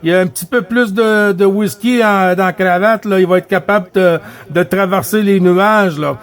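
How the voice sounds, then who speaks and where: A man's voice: high-pitched, moderate pace. A man with a Quebec French accent chatting casually and rambling as he runs a CB radio contact. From Quebec, Canada. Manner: rambling, repetitive, colloquial. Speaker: CB radio operator running a contact net.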